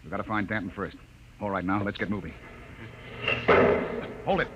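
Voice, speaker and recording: An actor playing a gruff spaceship captain giving brisk orders in a 1950s radio drama. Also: male voice, fairly low pitch, close to an everyday voice. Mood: commanding, urgent. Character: gruff, commanding male spaceship captain leading a search party. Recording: noisy muffled 1950s radio recording.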